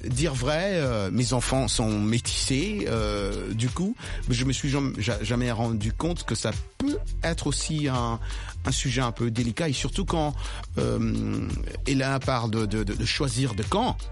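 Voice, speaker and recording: A man's voice: medium pitch, unhurried, creaky, soft background music. A man hosting an interview, talking with many hesitations and restarts. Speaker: radio show host.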